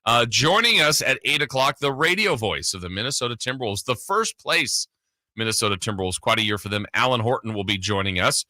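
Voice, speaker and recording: A man's voice: medium pitch, animated, fairly quick, pushed and bright, dry recording. A man hosting a sports radio show, chatting casually in a rambling, conversational way close to the mic. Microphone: close studio broadcast mic.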